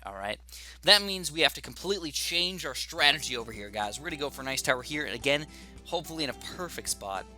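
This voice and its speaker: Young guy with a bit of a sore throat, bright voice, chatty and hyped, faint music behind. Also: on the high side. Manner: chatty and excitable, thinking aloud. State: slightly raspy from a sore throat. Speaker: gaming YouTuber. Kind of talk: casual gameplay commentary.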